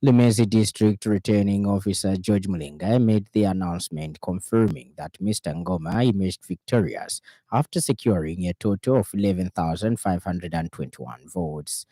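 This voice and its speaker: Low, mellow Zambian newsreader man. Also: normal speed, few pauses, no room sound. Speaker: radio news presenter. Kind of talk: formal scripted news reading. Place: radio studio.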